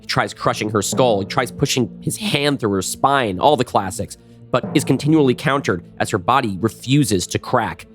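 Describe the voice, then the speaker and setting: A man's voice: mid-range voice, normal speed, a bit bright, faint music behind. A man giving scripted narration for an action audio drama.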